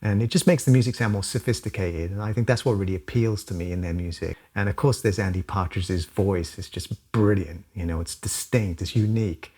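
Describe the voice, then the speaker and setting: Low-voiced man explaining music theory. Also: brisk, hardly any pauses, slightly rough. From South Wales. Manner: relaxed, thoughtful, casual with fillers. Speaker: music teacher. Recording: song playing loudly behind.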